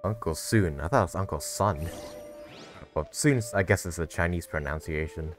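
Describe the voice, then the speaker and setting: A man's voice: low voice, normal speed, over music. A man giving casual, slangy playthrough commentary, reacting expressively to a game's story. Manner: slangy, expressive, mock-incredulous. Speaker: game streamer reacting to story.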